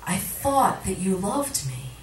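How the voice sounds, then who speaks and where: A woman's voice: mid-range voice, sing-song, slow. Woman reading poetry in an echoey room. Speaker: poet, featured reader. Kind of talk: poetry reading, performed recitation. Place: literary cafe, indoors, live reading. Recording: echoey room recording from far off, audience noise. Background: cafe audience sounds.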